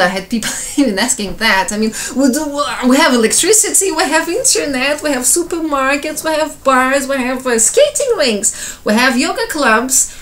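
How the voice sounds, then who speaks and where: A woman's voice: sits high, fairly bright tone. A middle-aged Ukrainian woman, a mother speaking earnestly at home and asking to be believed. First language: Russian. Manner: earnest, persuasive, repeats "okay?" and "believe me". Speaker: mother and housewife. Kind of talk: casual monologue to camera. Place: home, at night.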